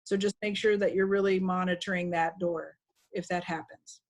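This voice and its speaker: A woman's voice: on the low side, steady tone, moderate pace, a little mellow. A woman trainer giving an informal, instructive walkthrough to staff over a video call. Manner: instructive, conversational. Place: video call with screen sharing.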